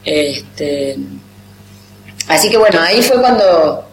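A woman's voice: low voice, expressive, slow. An Argentine woman writer in her thirties, chatting casually and self-mockingly in an interview, often laughing at herself. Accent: Argentine Spanish, rioplatense. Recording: roomy echo with background noise.

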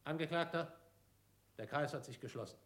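A man's voice: a little high, normal speed, a bit bright, lightly acted. An actor in a radio play playing a relentless courtroom interrogator who barks and repeats his questions insistently. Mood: hectoring.

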